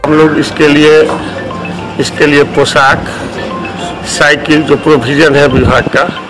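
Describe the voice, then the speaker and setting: Slow-speaking eastern Indian man over music. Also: a little high, level tone, poor audio. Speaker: education official interviewee. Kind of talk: spoken interview statement.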